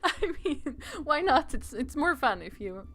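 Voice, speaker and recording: A woman's voice: sits high, sing-song, unhurried, fairly bright tone, soft background music. A woman playing a visual novel on a livestream, chatting casually and reading the game text aloud.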